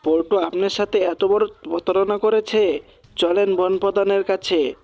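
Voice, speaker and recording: A man voicing an excitable, indignant male animal in a cartoon, in slightly muffled audio. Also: high-pitched, unhurried, soft background music, pitched above the performer's own voice. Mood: shocked, indignant. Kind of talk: cartoon dialogue.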